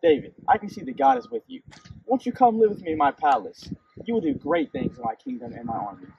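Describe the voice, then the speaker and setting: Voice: medium pitch, sing-song, fast, gravelly, distant mic, muffled recording, background noise. An actor playing a pompous, cowardly king with a theatrical, flustered manner in an amateur Bible story. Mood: approving.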